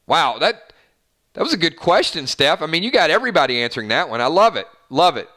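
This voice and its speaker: A man with a bright, fairly high voice hosts a relaxed live stream. He chats with his viewers, reacts to their comments and rambles along with plenty of fillers. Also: a little fast. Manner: chatty, upbeat, lots of fillers and restarts. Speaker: host of a fan live stream.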